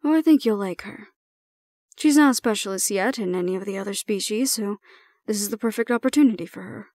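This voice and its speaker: A woman playing a composed, stern female supervisor in an audio roleplay, speaking in a measured, formal and deliberate way. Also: mid-range voice, darkish tone, dry recording, clean studio sound, lightly acted. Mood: calm, formal. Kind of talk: scripted audio roleplay acting.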